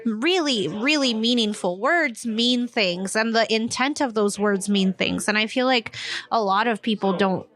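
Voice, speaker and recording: A woman with a fairly high voice chats on a podcast, recorded in a coffee shop. She talks with emphasis and acts out little quoted asides as she tells her stories. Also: unhurried, fairly bright tone. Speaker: podcast co-host. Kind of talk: casual chat.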